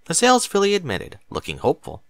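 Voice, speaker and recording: A man's voice: a little high, wildly swooping pitch, fast, creaky, no room sound. A man reading a story aloud as scripted narration, with dramatic, emphatic delivery. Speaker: audiobook narrator of fan fiction.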